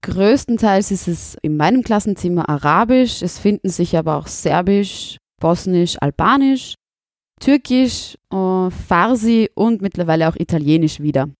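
A woman's voice: on the low side, expressive, normal speed, a little mellow, no room sound, studio quality. A woman teacher from Burgenland with an Austrian accent, answering interview questions in a thoughtful, candid way.

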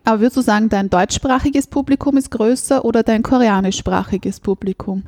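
Woman interviewer with a slightly high voice. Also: warm tone, no room sound. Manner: measured, curious questioning. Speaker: interviewer, host. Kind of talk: interview questions.

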